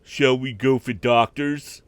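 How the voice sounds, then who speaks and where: A man's voice: medium pitch, slow, no echo, voice pushed up, lightly acted. A man voicing nosy, demanding teenage boys, a small-town bully and his cronies, in a storybook reading. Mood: eager, demanding. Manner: demanding, excitable.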